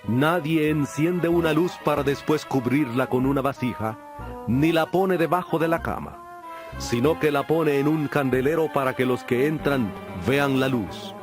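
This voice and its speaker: Male actor as solemn, patient preacher in audio Bible drama; fairly high register, measured declamation, music underneath. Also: close to an everyday voice. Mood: solemn teaching.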